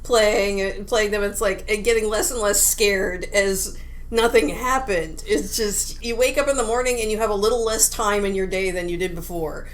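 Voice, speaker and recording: A woman's voice: medium pitch, moderate pace. A woman co-hosting a podcast, chatting casually and informally. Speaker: podcast co-host. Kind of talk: casual game discussion.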